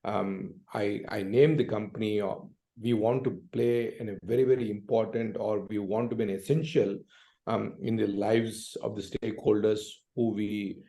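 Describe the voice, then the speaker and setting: A man with a South Indian accent answering as an interview guest, conversational, with halting phrasing and frequent check-in tags. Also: on the low side, steady tone, vocal fry, dark tone. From Hyderabad, Deccan region of India. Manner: hesitant phrasing, frequently tags sentences with "right?". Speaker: entrepreneur and investor, interview guest.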